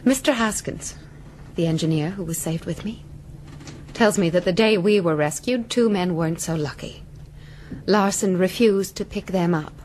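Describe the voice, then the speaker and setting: A woman's voice: on the low side, normal speed, darkish tone, slight background noise, natural voice. An actress playing a composed, principled lady castaway, speaking politely and earnestly in a radio drama. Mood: earnest, reproachful.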